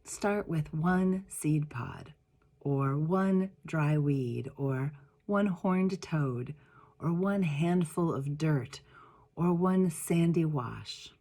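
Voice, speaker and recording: A wise old man of a storybook, read by a woman gives gentle advice. The delivery is slow and measured, patient and instructive, in a low register for a female voice. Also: heavily acted. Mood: softly instructive.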